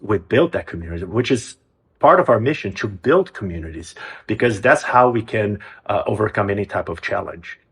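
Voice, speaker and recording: A man's voice: on the low side, expressive, a little fast, a bit bright. A man from Brazil, a music program's executive director, answering interview questions earnestly, often restarting his phrases. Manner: earnest, with frequent restarts and hesitations.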